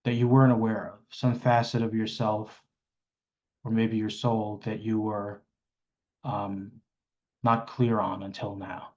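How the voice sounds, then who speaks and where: A man leading an improvised guided meditation, speaking hesitantly and pausing mid-sentence. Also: fairly low pitch, a little mellow, dull audio.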